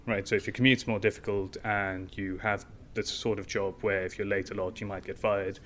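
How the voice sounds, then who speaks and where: A man's voice: fairly low pitch, level tone, brisk, fairly bright tone, a little hiss or hum. A young man, a startup founder, answering in a casual interview with long explanations full of fillers and restarts.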